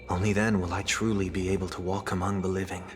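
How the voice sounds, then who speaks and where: Low-voiced, formal, introspective gentleman played by a man. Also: level tone, a little hiss or hum, natural voice. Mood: calm, resolute. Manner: measured, formal, earnest. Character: refined, introspective man of rigid, stately discipline. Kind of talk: scripted dramatic game dialogue. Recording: background music under voice.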